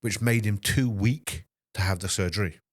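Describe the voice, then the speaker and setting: A Scottish man in his fifties, a fitness trainer hosting a solo podcast, speaking in an emotional, halting way with many restarts. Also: fairly low pitch, dry recording, clean studio sound. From Scotland. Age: 50s. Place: small podcast studio.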